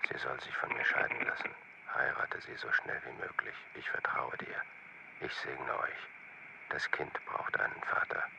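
An actor playing a cold, secretive man in a radio drama, whispering gravelly, insistent orders in a controlled monotone. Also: a bass voice, moderate pace, exaggerated voice, poor audio. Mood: cold, menacing. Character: vanished male friend, cold, menacing, secretive caller. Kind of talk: German radio drama acting.

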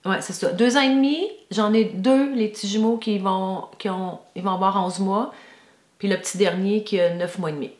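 A woman's voice: mid-range voice, moderate pace. Echoey middle-aged Québécois woman. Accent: Québécois French. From Quebec, Canada. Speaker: podcast co-host. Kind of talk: casual chat. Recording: roomy, echoey indoor sound.

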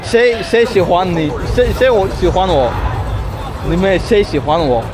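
A young man vlogging at a street festival, loud and playful as he chats with strangers, switching between Mandarin and English. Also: sits high, animated, moderate pace. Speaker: travel vlogger. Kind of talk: street interviews at a party, casual. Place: crowded outdoor street festival. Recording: noisy outdoor party with blaring music. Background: loud music and crowd.

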